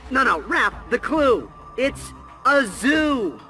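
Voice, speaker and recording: A man's voice: very high-pitched, very animated, takes it slowly, exaggerated voice. A man voicing an earnest, responsible young male mutant turtle leader in a game cutscene, serious and reproachful. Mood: insistent. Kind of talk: animated game cutscene acting. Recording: loud music behind the voice.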